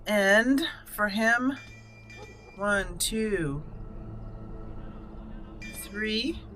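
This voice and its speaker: A woman's voice: mid-range voice, animated, drawn-out words, music in the background. A woman hosting a casual game walkthrough, thinking aloud in short fragments as she plays. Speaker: gaming channel host.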